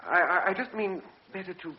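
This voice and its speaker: A man's voice: high voice, a little fast, lightly acted. An actor playing an earnest young army lieutenant who pleads his case in a radio drama. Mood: pleading. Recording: dull old radio recording.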